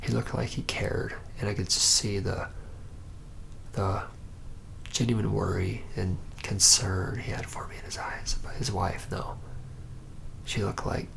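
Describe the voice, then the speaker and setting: A reluctant young male vampire, acted by a man, recounts his past in an intimate ASMR roleplay. His voice is very low and heavily creaky, often dropping into a whisper. He speaks haltingly, with a rueful, haunted air. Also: little pitch movement, fairly bright tone, full character voice. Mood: uneasy, reflective. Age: young adult. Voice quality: breathy whisper mixed with low, gravelly murmur. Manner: halting, hesitant, pausing mid-phrase. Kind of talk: ASMR roleplay, hushed first-person storytelling.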